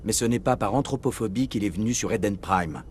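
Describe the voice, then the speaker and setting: An actor playing a steady, determined military commander in a video game, speaking in a serious, restrained way. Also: male voice, lowish voice, a little fast, slight background noise, natural voice. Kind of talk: dramatic video game dialogue.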